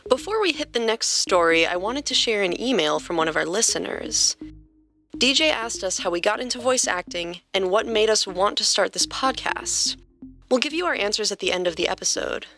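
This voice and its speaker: A woman's voice: mid-range voice, animated, normal speed, pushed and bright, soft background music. A woman hosting a podcast and narrating a story expressively from a script.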